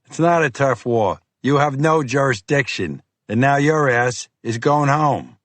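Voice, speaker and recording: A man voicing a gruff, sarcastic, heavyset male FBI agent with a Boston accent, brusque and bossy. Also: mid-range voice, animated, a little slow, a bit bright, dry recording, voice pushed up. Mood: exasperated.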